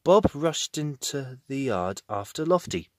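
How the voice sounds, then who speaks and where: A man narrating a children's storybook in an expressive reading style. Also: medium pitch, unhurried, dry recording, clean studio sound.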